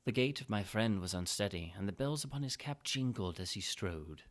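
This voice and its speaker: A man's voice: on the low side, animated, moderate pace. A man narrating a classic Gothic tale as a dramatic reading, in a theatrical, formal manner. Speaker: amateur reader of classic tales.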